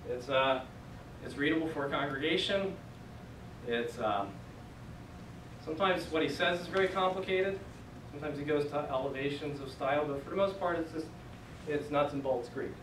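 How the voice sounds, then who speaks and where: A man's voice: on the high side, normal speed, pauses often. Casual young man teaching Greek class. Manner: casual, conversational, many fillers. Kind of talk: classroom lecture. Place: church meeting room. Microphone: far camera. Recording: echoey room from far off, noisy.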